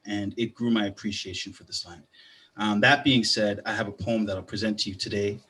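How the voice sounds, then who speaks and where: Bright-voiced man performing spoken word poetry. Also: lowish voice, fairly even intonation, brisk. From Canada, raised partly in Guyana. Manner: rhythmic, rising performance delivery in the poem. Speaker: poet and arts educator.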